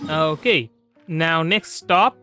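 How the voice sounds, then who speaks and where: High-pitched, bright-voiced male streamer. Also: slow. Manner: rambling, repeats and restarts phrases often. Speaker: livestreamer. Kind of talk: casual gameplay livestream commentary. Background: soft background music.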